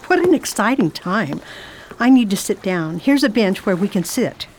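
A woman's voice: mid-range voice, animated, normal speed, a little hiss or hum. Prim, composed woman in a radio play. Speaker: traveling narrator, Miss Gregory. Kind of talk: radio drama acting.

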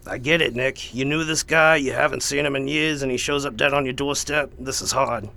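A man playing a gruff, insistent but soft-hearted police captain in a radio drama. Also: mid-range voice, steady tone, a little fast. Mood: concerned. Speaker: actor in an audio drama. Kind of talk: radio theatre acting.

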